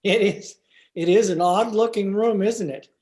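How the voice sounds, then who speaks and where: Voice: mid-range voice, normal speed, a little mellow, natural voice. An actor playing a mild, proper male schoolteacher, hesitant and formal, in an old-time radio comedy. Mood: mild, concerned. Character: mild, timid, proper male biology teacher. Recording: remote video-call recording.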